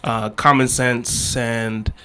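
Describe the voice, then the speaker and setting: A man's voice: mid-range voice, slow pace, a little hiss or hum. A man from the Washington, D.C. area in his thirties, chatting casually as a podcast guest with lots of fillers and restarts. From Washington, D.C. area, United States. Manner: relaxed, many fillers and restarts, slangy. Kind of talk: casual interview chat.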